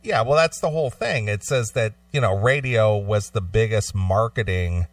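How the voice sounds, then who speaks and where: A man with a fairly low voice co-hosts a radio talk show, moving between reading news copy and casual discussion. The sound is dry, and he sits a little back from the microphone. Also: normal speed, no room sound, distant mic. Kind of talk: reading news aloud and chatting about it.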